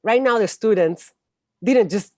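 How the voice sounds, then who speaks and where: A woman's voice: mid-range voice, a little slow, dark tone, dry recording, clean studio sound. A woman in her forties with a Chilean accent and Spanish as her first language, explaining things in an interview and often searching for words. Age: 40s. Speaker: interview guest. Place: indoors, room with bookshelves, on a video call. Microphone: wired earphones on a video call.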